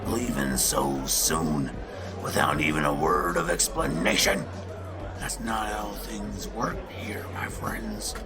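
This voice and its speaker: A menacing shifter pack leader, played by a man, who gloats and mocks in a low, gravelly growl. He takes his time, lets his pitch swing wide, and slips into hissed whispers. Music plays behind him in this audio drama. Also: expressive, slow, exaggerated voice. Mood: threatening. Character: menacing male shifter pack alpha, scornful.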